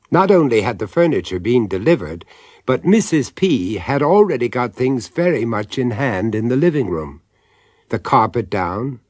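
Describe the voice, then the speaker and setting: Horror radio narrator,; mid-pitched, suave and wry, expressive storytelling, very dry studio sound. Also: male voice, dry recording.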